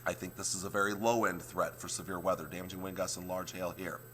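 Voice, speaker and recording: Hoarse male TV meteorologist, very deep voice, faint hum behind. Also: flat delivery, quick pace, a bit bright. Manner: explains maps step by step, repeats points. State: hoarse, losing his voice. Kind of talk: morning weather forecast.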